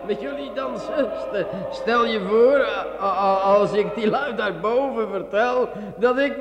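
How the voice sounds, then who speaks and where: An actor in a radio play playing a muddled, giddy man with a stammering, halting delivery. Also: sits high. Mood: giddy delight. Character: giddy, muddled man enchanted by talking bottles. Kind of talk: theatrical radio play acting. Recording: echoey hall, music behind.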